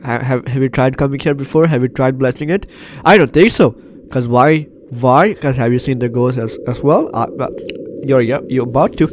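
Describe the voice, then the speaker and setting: A man's voice: medium pitch, normal speed, a little mellow, slight background noise. A man with the flu doing let's play commentary, reading game text aloud and joking in a repetitive, bantering way. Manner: jokey, repeats phrases, banters with characters. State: sick with flu. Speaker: gaming YouTuber.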